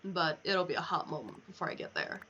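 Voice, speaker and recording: A woman's voice: fairly low pitch, lively intonation, a little fast, sharp tone. A young American woman rambling casually on a livestream while gaming, chatty and unfiltered with frequent swearing. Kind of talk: casual rambling livestream chat over a video game. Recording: dull lo-fi mic with clear background noise.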